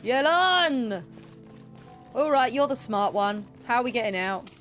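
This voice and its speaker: A woman casually chatting and laughing while playing a game on stream, in a relaxed, playful way. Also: on the high side, a little slow, soft background music. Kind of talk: casual gameplay commentary.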